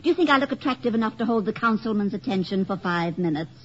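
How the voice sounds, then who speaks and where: A muffled old radio recording of an actress as a woman professor. She is poised and breezy, with a slightly husky voice and a dry, articulate humor. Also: mid-range voice, animated, fast talker, lightly acted. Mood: friendly. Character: poised, wry female political science professor. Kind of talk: 1950s radio comedy acting.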